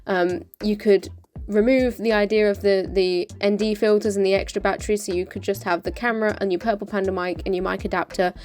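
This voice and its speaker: A woman's voice: mid-range voice, steady tone, a little fast, darkish tone. A woman with a British accent giving a chatty, informal, practical explainer as a motorcycle vlogger. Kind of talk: casual explainer of a gear setup.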